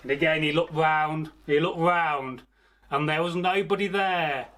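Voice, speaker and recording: A man telling a folktale aloud as a storyteller, theatrical, pausing mid-phrase and speaking directly to his listeners. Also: fairly high pitch, steady tone, fairly bright tone. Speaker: storyteller, channel host. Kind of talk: oral folktale storytelling, improvised.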